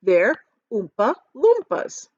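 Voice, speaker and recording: Female reader as eccentric chocolate factory boss: proud, excitable storybook showman, lively and high, leaning hard on key words, slightly dull recording. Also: slow, dry recording, heavily acted. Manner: theatrical, emphatic, stretching key words.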